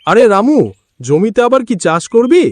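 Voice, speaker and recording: Man as high, taunting village neighbour. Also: sing-song, normal speed, no room sound. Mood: mocking. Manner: loud, taunting. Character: mocking, boastful village neighbour farmer. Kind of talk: Bengali cartoon voice acting.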